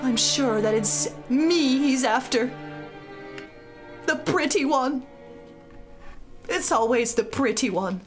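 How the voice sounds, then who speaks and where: A vain young maiden from a comic puppet show, acted by a woman, speaks in a very high voice at a slow pace. She is fretful and scheming, repeating herself with great melodrama, over faint music. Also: animated. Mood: anxious, melodramatic.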